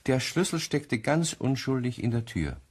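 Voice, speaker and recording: A man's voice: mid-range voice, big pitch swings, moderate pace, darkish tone, husky. A man narrating a scripted German radio play in a wry storytelling manner. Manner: wry storytelling with rhetorical questions.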